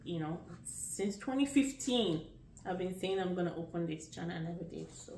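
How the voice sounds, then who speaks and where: A woman's voice: lowish voice, animated, creaky, a little mellow, roomy sound, recorded from a distance, a little hiss or hum, low-quality recording. A woman in her late thirties from Nigeria with a Nigerian accent, chatting casually and rambling a little as she answers questions about herself. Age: late 30s. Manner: unhurried, chatty, rambling with restarts. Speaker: vlogger. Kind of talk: casual get-to-know-me vlog.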